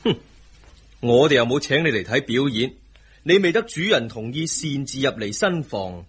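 An actor playing a doting but quick-tempered young husband in a Hong Kong Cantonese radio drama. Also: male voice, mid-range voice, sing-song, a bit bright, breathy edge, full character voice. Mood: irritated. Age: young adult.

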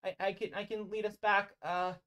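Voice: medium pitch, little pitch movement, moderate pace, fairly bright tone, highs cut off, pitched above the performer's own voice, lightly acted. A game master voicing a frantic, easily scared animal companion in a tabletop game, panicky and exclaiming. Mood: panicked.

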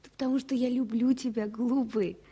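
A tender young stewardess in a vintage Soviet radio drama. Her voice sits fairly high with a breathy, husky edge. She coaxes softly and affectionately, with resolve underneath. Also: steady tone, brisk. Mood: affectionate, reassuring. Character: young loving flight attendant, tender but resolute. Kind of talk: 1970s Soviet radio play acting.

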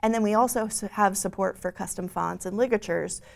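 A woman in her forties speaks in a studio, her voice carrying a gravelly, creaky edge at the ends of phrases. She explains product features fluently, peppering her talk with "you know" and quick restarts, at a middle pitch for a woman. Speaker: product lead presenting the software. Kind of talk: scripted-feeling tech keynote conversation. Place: bright studio stage with screen behind.

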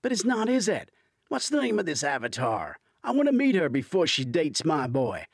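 A brash, mocking buddy from an audiobook thriller, acted out by a male reader. He needles in punchy, exclamatory bursts, pitched high and swinging widely. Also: wildly swooping pitch, moderate pace, husky, dry recording, studio quality, full character voice. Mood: teasing. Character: brash, mocking man, pushy teasing veteran buddy.

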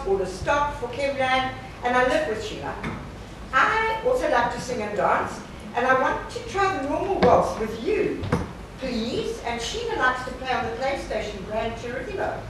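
Actress as eager, high-pitched woman in echoey theatre. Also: very animated, normal speed. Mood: cheerful, chatty. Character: Lucy, friendly eager woman shop manager. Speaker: stage actor. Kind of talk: live stage play dialogue. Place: theatre stage before a live audience. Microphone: far camera. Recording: echoey noisy theatre, distant camera mic.

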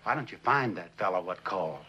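An actor playing an uneducated old murder defendant, halting and pleading, in a television drama. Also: male voice, deep voice, expressive, pushed and bright, slightly rough, highs cut off, full character voice. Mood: desperate pleading. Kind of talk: 1960s television drama acting.

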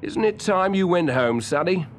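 Guy doing a circus man from a kids storybook, teasing and sceptical, swoopy pitch. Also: expressive, moderate pace, a little hiss or hum. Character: busy circus man, gruffly amused, unhurried.

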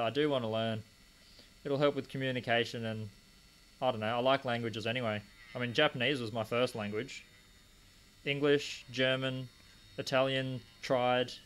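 A man's voice: mid-range voice, a bit bright, clear, resonant tone, poor audio. Rambling young man, live stream. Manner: rambling, answers chat comments aloud. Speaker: stream host polishing gemstones. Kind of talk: casual live-stream chat while working. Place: small home desk corner with a rotary tool running.

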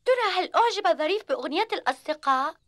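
A woman's voice: extremely high pitch, lively intonation, very fast, noisy background. A woman voicing a curious young cartoon kid who asks lots of questions. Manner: inquisitive, bright.

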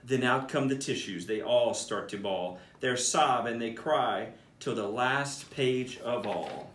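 A man's voice: mid-range voice, lively intonation, takes it slowly, a bit bright, a little hiss or hum. A man, around his thirties or forties, a school principal reading a picture book aloud expressively and talking directly to children. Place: small office, indoors. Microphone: camera a few feet away. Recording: roomy echo, distant mic.

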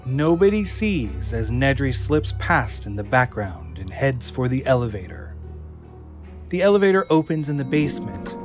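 Male audio drama narrator over music. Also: a little high.

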